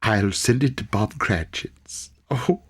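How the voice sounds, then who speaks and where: A man's voice: lowish voice, slow pace, a bit bright, breathy edge, heavily acted. A man voicing an old, repentant miser in an audiobook, stammering and repeating himself in breathless exclamations. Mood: giddy delight. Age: older.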